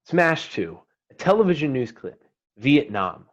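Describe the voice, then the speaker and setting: Casting: male stage-direction reader, medium pitch, terse scripted narration, slightly muffled online-call sound. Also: sing-song, measured pace.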